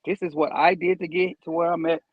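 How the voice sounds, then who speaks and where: Guest, young adult male, husky and high-pitched, laid-back, explains at length, dry dull sound. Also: little pitch movement, a little fast, no room sound. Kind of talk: casual conversation.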